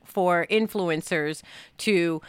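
A woman talking casually and candidly as a podcast host, animated, acting out remarks as she recalls them. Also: lowish voice, drawn-out words, clear, resonant tone, dry recording. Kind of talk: casual conversational discussion.

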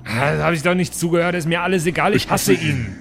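A man's voice: a little high, fast talker, gravelly, a bit bright, slight background noise. A man playing a furious, hot-headed superhero who holds grudges, in improvised role-play. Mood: angry, sulking. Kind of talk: improvised German audio drama role-play.

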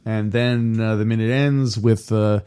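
A man co-hosting a podcast in casual chat, hoarse from screaming, rambling with fillers. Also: lowish voice, steady tone, measured pace, mellow. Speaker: podcast co-host.

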